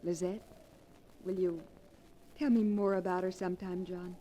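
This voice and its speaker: An actress playing a young bride in a 1940s radio drama, earnest, breathless and melodramatic. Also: female voice, lowish voice, steady tone, normal speed, warm tone, close to an everyday voice. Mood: wistful, curious. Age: young adult. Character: young bride, eager, romantic, easily frightened. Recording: noisy 1940s radio recording.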